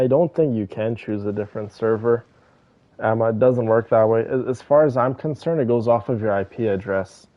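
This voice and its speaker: A man chatting casually and haltingly with viewers as he streams a game, on slightly muffled audio. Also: lowish voice, dry recording. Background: faint game music.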